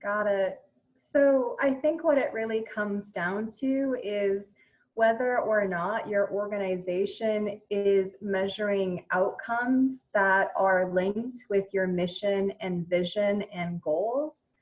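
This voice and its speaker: A woman with a mid-pitched voice gives explanations as a guest consultant in an interview. Her delivery is measured and conversational, like someone walking a host through her field. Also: muffled recording.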